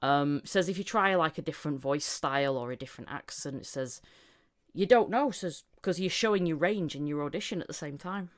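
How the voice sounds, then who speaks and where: A woman's voice: low voice, fairly quick. A woman hosting a casual, chatty interview, often telling things through bits of reported dialogue. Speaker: podcast host interviewing a voice actor. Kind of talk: casual chat.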